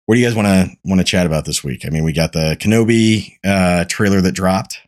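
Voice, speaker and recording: A man hosts a casual podcast, his sinuses clogged and his voice starting to go. He talks in a low register, wandering and cursing as he chats. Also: brisk. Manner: rambling, profane, laid-back. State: congested, losing his voice. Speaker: podcast host.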